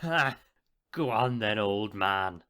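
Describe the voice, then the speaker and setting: Cocky young captain, sharp low voice, measured pompous delivery, audio drama. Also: male voice, lively intonation, clear, resonant tone, heavily acted. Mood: taunting. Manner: grandiose, pompous, dramatic storytelling. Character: boastful, swaggering young upstart pirate captain. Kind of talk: audio play acting.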